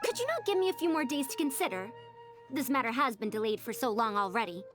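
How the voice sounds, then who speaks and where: Woman voicing a proud, lively, high-voiced lady scholar. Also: fast, music in the background, close to an everyday voice. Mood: exasperated. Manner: haughty, formal, old-fashioned phrasing. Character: proud, fussy scholarly woman with old-fashioned elder airs. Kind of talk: video game character voice acting.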